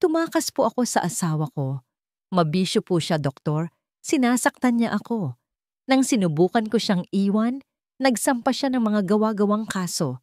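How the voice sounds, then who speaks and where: A woman's voice: mid-range voice, sing-song, moderate pace, a little mellow, dry recording, studio quality, voice pushed up, natural voice. A woman voicing a frightened, wounded runaway wife in a story, trembling and deferential. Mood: pained, fearful.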